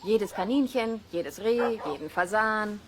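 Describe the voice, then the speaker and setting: A woman's voice: medium pitch, unhurried, close to an everyday voice. A woman playing a friendly, knowledgeable wolf keeper, explaining patiently in a children's radio play. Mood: friendly, instructive. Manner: patient, lightly amused explaining. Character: friendly, knowledgeable woman who looks after wolves. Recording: faint cassette noise.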